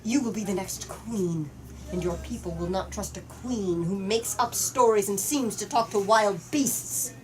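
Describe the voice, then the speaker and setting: A woman's voice: medium pitch, measured pace, crowd noise, lightly acted. A woman reading as a stern cold queen. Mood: scolding. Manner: clipped, scolding. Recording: noisy room recording.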